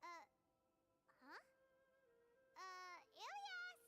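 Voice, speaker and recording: A woman's voice: extremely high pitch, very animated, very slow, sharp tone, heavily acted. A woman voicing a tiny, chirpy female companion who talks about herself in the third person. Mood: curious. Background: game music.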